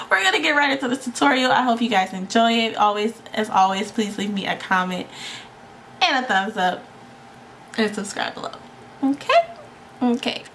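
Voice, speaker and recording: Congested, creaky-voiced woman vlogger, noisy recording. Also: a little high, fairly bright tone. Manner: playful, slangy, emphatic repetition. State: tired, under the weather with allergies. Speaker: beauty vlogger and makeup tutorial host. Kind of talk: casual chatty makeup tutorial to camera. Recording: clearly noisy home recording.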